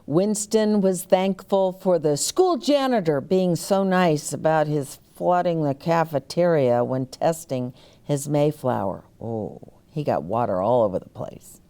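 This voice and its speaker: A woman storyteller reading a picture book aloud to young children, animated, with chatty explanatory asides. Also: low-pitched, a little slow.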